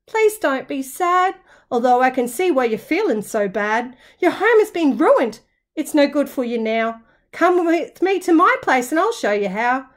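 A woman voicing a kind, comforting female wombat in a children's picture book, gentle and reassuring. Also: high voice, sing-song, unhurried.

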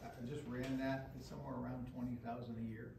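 A man's voice: medium pitch, level tone, quick pace, creaky. A man answering questions at a school board meeting, talking plainly with many restarts, picked up from across a large meeting room. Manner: conversational, with frequent restarts. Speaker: school administrator. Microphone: far camera. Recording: echoey room, far from the mic, background noise.

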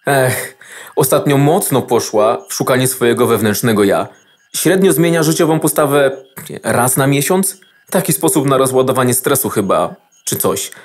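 A literal-minded processor from a children's radio play, acted by a man. He has a mid-pitched voice and sounds mechanical, matter-of-fact and wearily overworked. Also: lively intonation, moderate pace, lightly acted. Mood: matter-of-fact, weary.

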